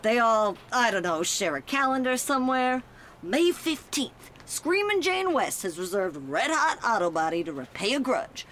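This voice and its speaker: A woman playing a skeptical, brisk police detective in a scripted noir audio drama, deadpan and teasing. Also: a little high, a little hiss or hum. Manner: deadpan, matter-of-fact, teasing.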